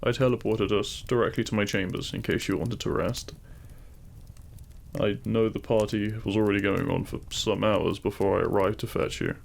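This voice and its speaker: An actor playing a demon king, a deliberate and intimate lover, speaking straight to the listener in a romantic audio roleplay. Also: on the low side, steady tone, vocal fry. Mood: loving, gentle. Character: feared demon king, menacing in public, tender lover in private.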